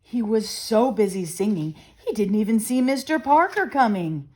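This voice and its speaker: A middle-aged woman reading a children's picture book aloud as storyteller, expressive and deliberate. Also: fairly high pitch. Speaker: storyteller and channel host.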